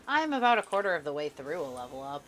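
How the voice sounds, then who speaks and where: A woman's voice: low voice, sing-song, fast talker, a bit bright, faint music behind. A woman streaming a co-op game live, bantering with her co-player in a dry, sarcastic way. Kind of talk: casual gameplay chat with a co-player.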